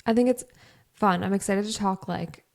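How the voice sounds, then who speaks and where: A woman's voice: mid-range voice, normal speed, gravelly. A young adult woman co-hosting a podcast, talking in a rambling, chatty way with many "like" fillers. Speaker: podcast co-host. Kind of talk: casual chat.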